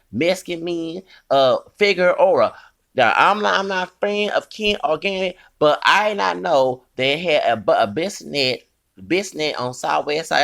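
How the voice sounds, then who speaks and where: Gravelly man in his forties ranting. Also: a little high, fairly bright tone. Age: 40s. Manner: heated, cursing, scolding. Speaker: commentator. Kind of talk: rambling commentary rant.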